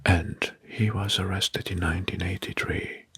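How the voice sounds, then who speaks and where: A man whispers in a very breathy, husky ASMR narration, taking his time between phrases. His delivery is hushed and halting, with words softly repeated, and the whisper has a crisp, bright edge. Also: deep voice, level tone. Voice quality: breathy, mostly unvoiced whisper.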